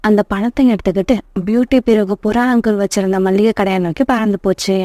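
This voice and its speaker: A woman's voice: medium pitch, steady tone, a little fast, warm tone, dry recording, studio quality. A woman narrating a scripted children's story as the storyteller.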